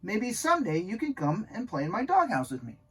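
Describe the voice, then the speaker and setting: A man's voice: sits high, huge pitch swings, fairly quick, a bit bright, slightly rough, voice pushed up, heavily acted. A man voicing an earnest, childlike young dog in a children's storybook, speaking simply to kids. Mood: earnest advice. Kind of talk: children's storybook read aloud.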